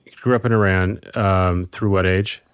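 A man's voice: sits low, steady tone, normal speed, smooth, dry recording. A man interviewing a guest with skeptical, conversational questions.

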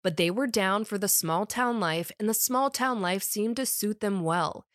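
A woman's voice: mid-range voice, normal speed, fairly bright tone, no echo, clean studio sound. A woman podcast host with a summer cold, reading a scripted true crime story in a wry, conversational way.

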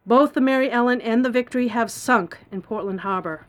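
A woman acts a government press secretary in an audio drama. She is composed, brisk and official, with a fairly high voice and formal, factual delivery. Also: close to an everyday voice. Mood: grave, official.

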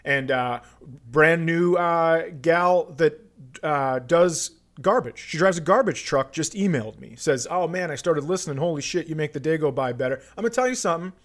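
Blunt, higher-voiced man bantering on a podcast. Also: animated, normal speed, a bit bright. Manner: blunt, teasing, profane. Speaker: comedian and podcast host. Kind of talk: casual comedy podcast banter.

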